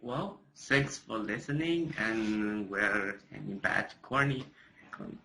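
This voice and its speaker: A man's voice: fairly low pitch, takes it slowly, gravelly, sharp tone, a little hiss or hum. A young man, a non-native English speaker, talking casually about his studies with many hesitations and jokes. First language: not English. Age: young adult. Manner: hesitant, many fillers, joking. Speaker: student speaker. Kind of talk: casual webcast talk.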